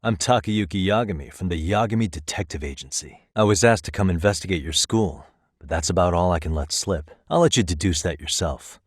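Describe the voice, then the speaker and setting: A man's voice: low-pitched, brisk, no echo. A male actor with an American accent playing a wry, easygoing private detective in a video game, talking in a dry, casual, persuasive way. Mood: calm, negotiating. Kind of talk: scripted game dialogue, conversational.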